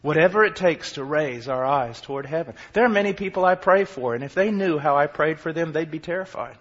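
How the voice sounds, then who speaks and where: A fervent man in his forties preaches with a husky, somewhat high voice. He drops to near murmurs and then surges into shouts. The recording sounds dull and lo-fi. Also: expressive, fairly quick. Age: 40s. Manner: impassioned, swinging from quiet to shouting; self-deprecating humor. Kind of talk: sermon.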